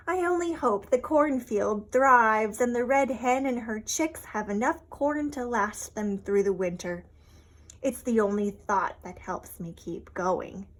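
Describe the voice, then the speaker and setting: Woman reading as a weary young storybook girl, unhurried. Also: a little high. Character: weary, sore, kindhearted young girl writing to a friend. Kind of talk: storybook letter read-aloud.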